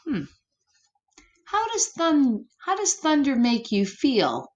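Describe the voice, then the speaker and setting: A woman reading a story aloud to young children, gentle and expressive, pausing to chat and ask them questions. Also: high-pitched, dull audio. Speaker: children's story reader, teacher. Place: room at home.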